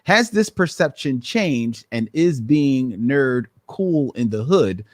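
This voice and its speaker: Lively, laughing male podcast host. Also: mid-range voice, slow pace, no room sound. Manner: lively, laughing, meandering sentences. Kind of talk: casual podcast chat. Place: room full of Batman memorabilia.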